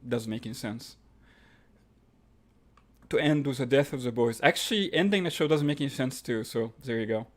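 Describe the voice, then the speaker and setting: A man's voice: medium pitch, moderate pace, long pauses. A man from Egypt with an Egyptian accent, hosting a live stream and reading out viewer questions, then answering them offhand. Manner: reads questions aloud then answers offhand, with fillers and restarts.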